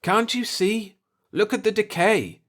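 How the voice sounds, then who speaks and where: A man's voice: sits high, unhurried, fairly bright tone, pitched above the performer's own voice. A man voicing an eager, brash human explorer, emphatic and argumentative, in a science fiction story. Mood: eager, urgent.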